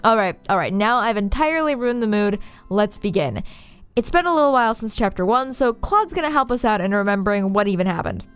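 A woman's voice: mid-range voice, animated, a little fast, clean tone. A woman hosting a scripted video essay, narrating in a wry, playfully sarcastic way. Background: faint background music.

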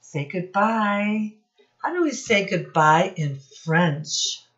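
A woman's voice: medium pitch, animated, dull audio. A middle-aged woman reading a picture book aloud to young children like a teacher, stopping to point things out and ask questions. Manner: instructive, addresses children directly, pauses to point things out. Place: small room at home, indoors.

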